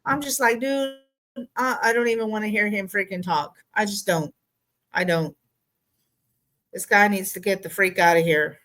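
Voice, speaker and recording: A woman in middle age talks straight to camera in a loose, rambling rant. She is blunt and exasperated, swears now and then, and fills her pauses with ums and y'alls. Her pitch sits in an ordinary middle range. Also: a bit bright. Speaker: political vlog host. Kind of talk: casual opinion rant.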